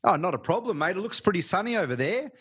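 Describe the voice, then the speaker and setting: A man's voice: high voice, sing-song, quick pace, a bit bright, slightly rough. A man hosting a podcast, reading a scripted introduction and then asking friendly, short questions. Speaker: podcast host.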